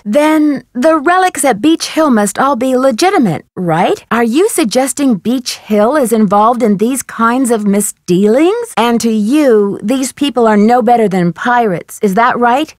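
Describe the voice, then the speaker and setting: A woman's voice: fairly high pitch, dry recording, studio quality, lightly acted. A woman voicing a polite, inquisitive young female detective in a video game, articulate and questioning. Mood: probing. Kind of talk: scripted video game dialogue.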